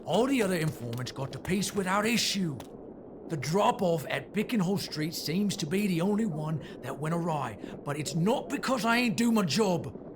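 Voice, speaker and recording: A man's voice: high-pitched, animated, normal speed, background noise. A man playing a defensive, uneducated Victorian criminal henchman with a working-class English accent in an audio drama.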